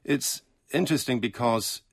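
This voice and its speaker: A man's voice: mid-range voice, moderate pace, slightly rough, no echo. A man hosting a late-night radio show in a studio, chatting with a guest and summarizing her answers in German. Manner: chatty, leading questions with trailing tags. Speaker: radio host. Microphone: studio microphone.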